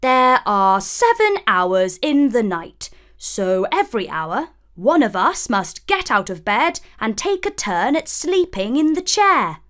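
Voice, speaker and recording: A woman voicing small male dwarf miners in a storybook, kindly, fussy and expressive. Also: fairly high pitch, slow pace, few pauses, heavily acted. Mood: reasonable, kindly. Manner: exclaiming, expressive.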